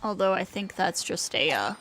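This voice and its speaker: A woman livestreaming a game, chatting casually and reacting out loud to what happens on screen. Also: lowish voice, big pitch swings, moderate pace, a little hiss or hum. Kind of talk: casual livestream gaming chat. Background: game music.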